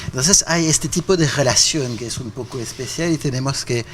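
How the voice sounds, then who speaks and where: A man answering interview questions in a halting way, repeating words and fillers often. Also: mid-range voice, slight background noise. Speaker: interview guest.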